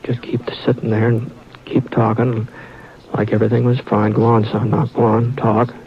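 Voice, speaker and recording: A man playing a calm steady cowboy. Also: mid-range voice, slight background noise, natural voice. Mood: tense. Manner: calm, measured, reassuring. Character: calm steady cowboy, drawling frontier narrator. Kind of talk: 1950s radio drama acting. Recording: dull old radio transcription with music.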